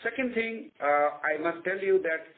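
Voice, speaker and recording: A man with an Indian accent answering as a company executive on an earnings call, explaining in a measured, confident way. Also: a little high. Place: conference phone call. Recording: narrow phone line with faint noise.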